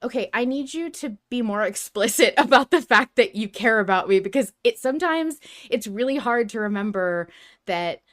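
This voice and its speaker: A woman's voice: a little high, expressive, normal speed. A woman co-hosting a podcast in a casual, reflective fan discussion, speaking emphatically and slipping into imagined quotes. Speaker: podcast co-host.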